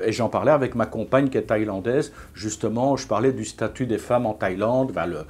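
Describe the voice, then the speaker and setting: A man's voice: on the low side, darkish tone. Man, fifties, casual vlog talk, frequent fillers. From Belgium. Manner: chatty, hesitant with frequent fillers and restarts. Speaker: vlogger.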